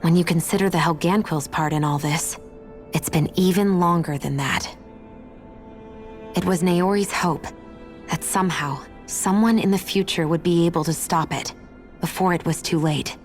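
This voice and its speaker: An actress playing a guarded, reflective young heroine in a video game, speaking thoughtfully and earnestly. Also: female voice, on the low side, moderate pace, lightly acted. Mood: somber, reflective. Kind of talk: dramatic video game cutscene acting. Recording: music playing behind the voice.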